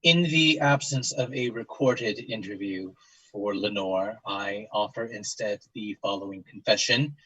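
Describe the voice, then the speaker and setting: An actor playing a grave investigator, speaking formally and deliberately as he questions and narrates. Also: lowish voice, fairly bright tone, husky, no room sound, highs cut off. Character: grave, measured male investigator recording testimony of tragedy. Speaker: interviewer and narrator. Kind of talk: solemn dramatic monologue and questioning.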